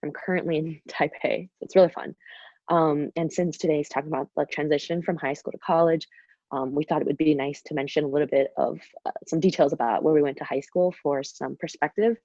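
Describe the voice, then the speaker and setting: Low-voiced young woman on a video call. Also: fairly even intonation, brisk, darkish tone, dry recording. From Shanghai, China. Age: young adult. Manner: chatty, many fillers and "kind of". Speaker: student webinar host. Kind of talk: casual presentation. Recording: dull, dry video call audio.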